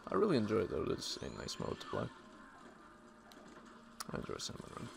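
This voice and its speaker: Male streamer, hoarse from talking, fry-heavy low voice, animated delivery, noisy mic. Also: fairly quick. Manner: reads chat aloud and answers viewers. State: losing his voice, hoarse. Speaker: game streamer. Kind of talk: casual live-stream commentary.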